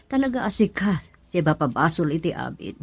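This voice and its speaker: A woman's voice: lowish voice, sing-song, brisk, a bit bright, slightly rough, exaggerated voice. A woman with an Ilocano accent playing a scolding middle-aged mother in a radio drama.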